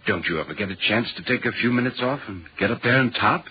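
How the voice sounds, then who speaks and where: An actor playing a courteous, inquisitive gentleman traveller in a 1940s radio horror drama, speaking politely and earnestly. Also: mid-range voice, lively intonation, fast talker, lightly acted. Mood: polite, curious. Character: curious, courteous gentleman traveller visiting a signalman. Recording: muffled, noisy 1940s radio recording.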